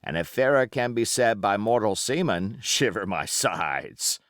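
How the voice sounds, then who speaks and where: A sly old seafaring pirate played by a male reader, all cajoling sailor talk with menace under the geniality. The pitch swoops about freely and the pace is unhurried, as in a storybook told aloud. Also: lively intonation, fairly bright tone, no room sound, studio quality. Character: wily old male sea-cook pirate captain, genial yet menacing. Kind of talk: audiobook character acting.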